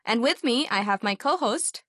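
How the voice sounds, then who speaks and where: A woman's voice: on the high side, lively intonation, moderate pace, bright, forceful tone, dry recording, studio quality. A woman hosting a radio talk show, upbeat and articulate. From Pakistan. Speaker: host.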